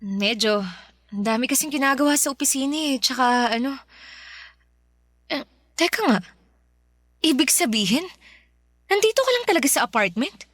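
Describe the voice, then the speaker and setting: A woman's voice: high-pitched, lively intonation, normal speed, pauses often, a bit bright, no echo. A woman acting a frustrated young working girlfriend in a radio drama, dramatic and exasperated, with a Filipino accent. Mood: puzzled. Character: frustrated young working woman nagging her live-in boyfriend.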